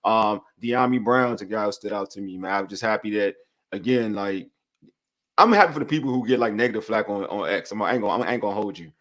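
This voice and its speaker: A man co-hosting a casual sports talk show, talking in quick bursts with frequent restarts. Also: fairly low pitch. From Nigeria. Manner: animated, talks through restarts. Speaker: podcast co-host.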